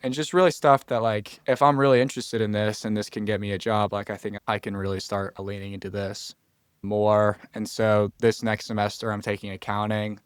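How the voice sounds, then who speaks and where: A man's voice: lowish voice, little pitch movement, brisk, clean tone, no echo, clean studio sound. A young man from Florida, a college freshman guest on a podcast, talking earnestly and thinking aloud. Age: young adult. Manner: earnest, thinks aloud with restarts and quoted asides. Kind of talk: casual interview chat.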